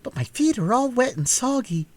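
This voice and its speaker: Man putting on an animated, earnest little country girl. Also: extremely high pitch, normal speed, no echo, pitched above the performer's own voice. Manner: earnest, prim, insistent. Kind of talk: storybook character voice in audiobook reading.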